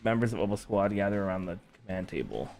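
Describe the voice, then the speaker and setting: Low-voiced man doing game commentary. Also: expressive, fast talker, gravelly, a little mellow, soft background music. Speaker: game streamer. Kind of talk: casual let's-play commentary and reading aloud.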